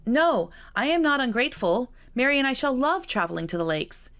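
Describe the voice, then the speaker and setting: A woman's voice: high voice, big pitch swings, fairly quick, fairly bright tone, pitched above the performer's own voice, exaggerated voice. A woman voicing an eager, earnest teenage younger sister in a Regency audiobook. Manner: jubilant, earnest, confiding. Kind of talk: audiobook character dialogue.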